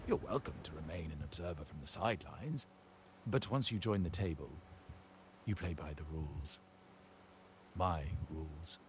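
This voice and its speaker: Imperious older mob boss uncle, video game. Very low voice, measured, menacing authority, noisy backdrop. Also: male voice, lively intonation. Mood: cold menace. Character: stern imperious older uncle, head of a crime family.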